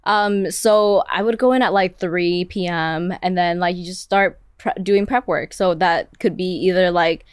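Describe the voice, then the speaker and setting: A woman's voice: on the low side, steady tone, measured pace, few pauses, clean tone, no room sound, studio quality. A young Vietnamese-born woman whose first language is Vietnamese, a chef telling her story in a casual interview, chatting with lots of "like" fillers. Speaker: chef guest.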